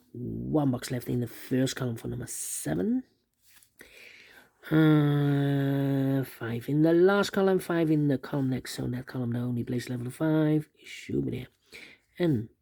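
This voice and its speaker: Gravelly man talking through a puzzle. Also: mid-range voice, warm tone. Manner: methodical, repeats numbers to himself. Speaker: sudoku instructor. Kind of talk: thinking aloud while solving a puzzle.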